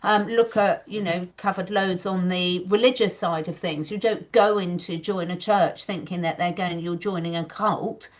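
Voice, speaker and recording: A woman's voice: lowish voice, fairly even intonation, normal speed, few pauses. A woman co-hosting a casual chat, talking earnestly in long, emphatic runs. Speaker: co-host. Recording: slightly dull lo-fi audio.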